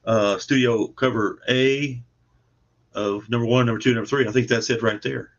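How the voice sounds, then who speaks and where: A man's voice: medium pitch, steady tone, normal speed. A man chatting casually as an interview guest, earnest and plainspoken, explaining his comic book project on a video call. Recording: dull, lo-fi video call audio.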